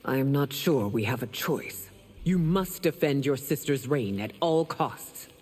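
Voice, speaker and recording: A woman's voice: very deep voice, expressive, measured pace, a little mellow, slightly rough, big-room sound, full character voice. An actress playing a proud, stern empress and mother in a video game, speaking formally and imperiously. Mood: stern, measured. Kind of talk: dramatic video game dialogue.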